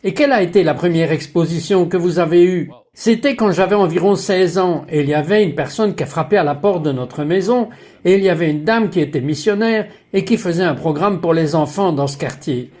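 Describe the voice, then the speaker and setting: Higher-pitched man dubbing an interview. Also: brisk, a little mellow. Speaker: French voice-over dubber of a religious interview show. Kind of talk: dubbed interview and testimony.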